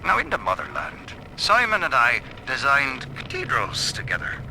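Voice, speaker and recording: An actor playing a cynical, irreverent brothel keeper, mocking and theatrical, in a game audio diary. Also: male voice, mid-range voice, wildly swooping pitch, moderate pace, creaky, pushed and bright, exaggerated voice. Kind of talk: dramatic audio-diary monologue. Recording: faintly noisy vintage audio log.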